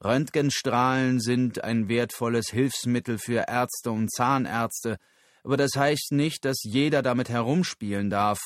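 A man's voice: medium pitch, fairly even intonation, a little slow, dry recording, clean studio sound, natural voice. A man voicing a firm male official in formal, emphatic public-speaking delivery, in an audiobook story. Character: firm male official defending strict rules at podium.

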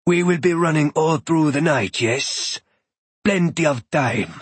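A man's voice: a little high, slightly rough, no room sound, pitched above the performer's own voice. A man voicing a polite god of thunder who speaks formally and measuredly. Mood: courteous. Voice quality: formal, measured speech without contractions. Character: polite god of thunder, bare-chested warrior.

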